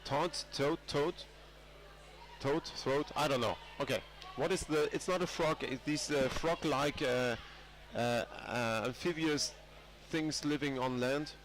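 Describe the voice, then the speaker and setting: A man's voice: fairly high pitch, a little slow, fairly bright tone, faint music behind. A man with a cold commentating a live match, rambling with many fillers and restarts. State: has a cold. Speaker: sports commentator.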